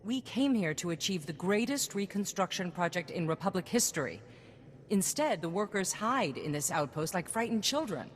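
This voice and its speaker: An actress playing a stern, driven governor in a video game, speaking in firm, commanding speeches. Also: female voice, on the low side, sing-song, normal speed, husky. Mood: resolute. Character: stern, driven woman governor of a reconstruction project. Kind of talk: scripted video game acting. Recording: long reverb, music underneath.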